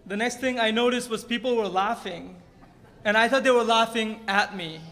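Man, 30s, high voice, earnest church testimony, podium mic, long sanctuary reverb. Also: moderate pace, a bit bright. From Nepal. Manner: earnest storytelling with light humor, restarts. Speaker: guest speaker at church. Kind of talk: personal testimony from a pulpit. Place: large church sanctuary stage. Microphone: podium microphone.

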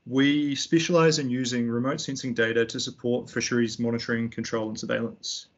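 A young man with a New Zealand accent, giving a tutorial over a slide presentation in a relaxed way, with frequent ums and restarts. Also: medium pitch, fairly quick, highs cut off. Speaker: fisheries analyst giving a tutorial. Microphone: computer mic on a video call.

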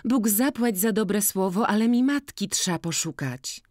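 A reader voicing a lively, bold young village girl in a slowly read audiobook. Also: mid-range voice, no room sound, clean studio sound, voice pushed up, close to an everyday voice. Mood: eager. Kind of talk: audiobook character voice.

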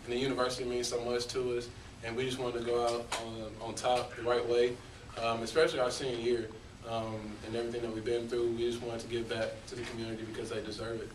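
Even-toned young man in a noisy, echoey room. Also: medium pitch, fast, recorded from a distance. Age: early twenties. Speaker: college basketball player. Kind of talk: press conference answers. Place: indoor press conference room.

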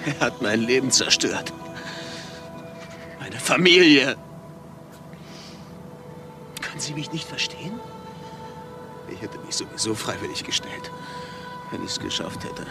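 Distraught radio-play character, gravelly, animated, pleading, slightly off-mic, music underneath. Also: male voice, mid-range voice, measured pace, long pauses, distant mic. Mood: anguished, bitter. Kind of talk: German crime radio drama acting.

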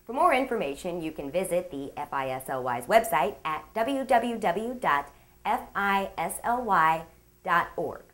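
Woman show host, lower-pitched and very animated, upbeat scripted read with playful asides. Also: unhurried. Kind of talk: scripted magazine-show narration.

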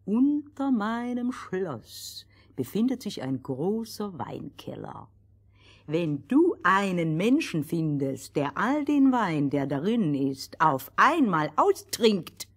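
A woman voicing a haughty, scheming king in a fairy tale, speaking in a pompous, measured, commanding way. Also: mid-range voice, sing-song, husky, exaggerated voice. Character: haughty, scheming old king, father of a princess.

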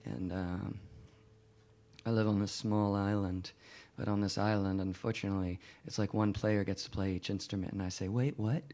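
Man, singer-songwriter from Virginia, candid casual talk, rambling, wry, halting delivery. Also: fairly low pitch, little pitch movement, dark tone. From Virginia, United States.

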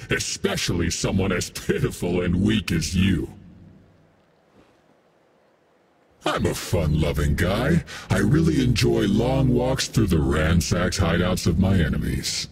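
A man voicing a cocky, menacing demon-powered gang boss for a video game, gravelly and full of boastful taunts. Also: low voice, big pitch swings, unhurried, hardly any pauses, background noise, heavily acted. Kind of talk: video game character voice pack.

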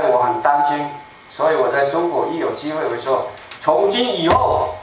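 A man in his seventies preaching a sermon in a small church, speaking slowly and emphatically and repeating his key phrases. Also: on the high side. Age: 70s. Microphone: room mic from a far camera. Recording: echoey room, distant mic, faint noise.

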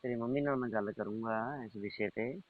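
A man's voice: mid-range voice, a little fast. A middle-aged man, having a casual private chat over the telephone. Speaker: uncle on a phone call. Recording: tinny, band-limited phone call recording.

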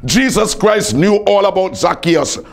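A man's voice: high-pitched, animated, measured pace, creaky. A man preaching a fervent evangelistic sermon in an emphatic, declamatory way, repeating phrases for effect.

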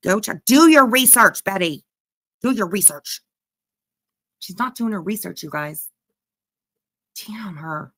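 A woman's voice: mid-range voice, lively intonation, unhurried, long pauses, fairly bright tone, no room sound. A woman with a mid-range voice delivers casual reaction commentary. She is sarcastic and mocking, with blunt swearing. The recording is dry, with little room sound. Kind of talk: casual mocking commentary over a played livestream clip.

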